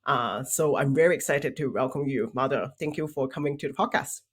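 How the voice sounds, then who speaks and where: A young adult woman hosting a career podcast, speaking in an earnest, measured and reflective way. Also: rumbling low, slightly rough. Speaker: podcast host. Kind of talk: podcast intro and interview.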